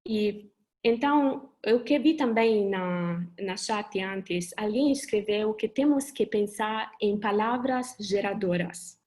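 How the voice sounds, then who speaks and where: A woman from Italy with an Italian accent speaking Portuguese, giving an earnest webinar talk over a video call and pausing now and then to search for words. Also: medium pitch, a little mellow. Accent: Italian, with Brazilian Portuguese touches.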